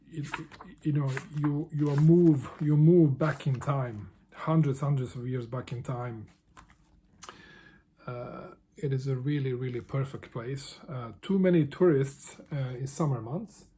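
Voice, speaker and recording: Mellow, halting man. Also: mid-range voice, slight background noise. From Bosnia. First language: Bosnian. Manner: halting, with frequent restarts and repeated words. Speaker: book vlogger. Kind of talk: casual answers to a book tag.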